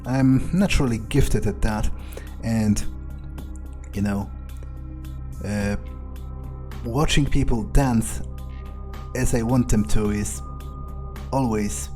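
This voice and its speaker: Man roleplaying a haughty vampire, dark low voice. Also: fairly even intonation, faint music behind. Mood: musing, smug. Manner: haughty, deliberate, muttering thoughts aloud. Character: arrogant, menacing male vampire, vain and scheming. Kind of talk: in-character video game roleplay commentary.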